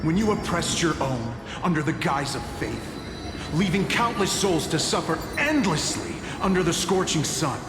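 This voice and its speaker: A cruel game villain, a scornful zealot who gloats over captives. His fairly high voice swings theatrically, ringing with reverb over music. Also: big pitch swings, normal speed. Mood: mocking contempt. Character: gloating, cruel male zealot captor passing judgment. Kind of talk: English video game voice acting. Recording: echoey hall reverb under loud music.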